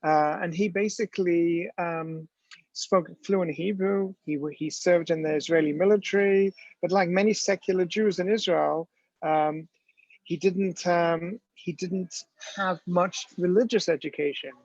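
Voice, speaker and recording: A man's voice: sits high, fairly even intonation, a little slow, darkish tone, no room sound. A man giving a storytelling lecture with slides, talking conversationally with many ums and restarts, in a dull, dry lo-fi recording.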